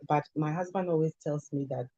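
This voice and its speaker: A Ghanaian woman with a Ghanaian accent, an immigration lawyer answering interview questions in a measured, advisory way. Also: low-pitched, dark tone, no echo. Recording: dull lo-fi sound.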